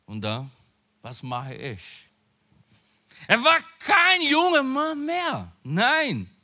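High, lively, bright-voiced preaching man. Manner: emphatic preaching with quiet asides; halting, searches for words. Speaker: pastor. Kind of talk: sermon.

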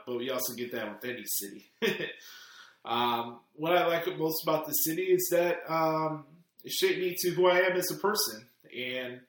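A man's voice: medium pitch, a bit bright. Echoey man hosting a podcast. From Chicago, United States. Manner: rambling, many fillers and restarts. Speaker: podcast host. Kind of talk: casual podcast Q&A. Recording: very echoey room.

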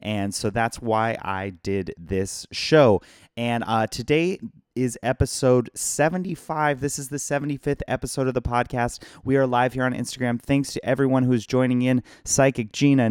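A hoarse, tired man hosting a casual live-streamed podcast from his phone, rambling and chatty as he thanks viewers by name. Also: mid-range voice, darkish tone, no echo, clean studio sound. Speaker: podcast host.

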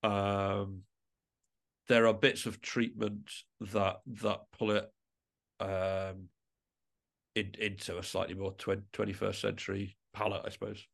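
A man speaking as a musician guest in a reflective interview, halting and often rephrasing mid-sentence. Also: fairly low pitch, flat delivery, gravelly.

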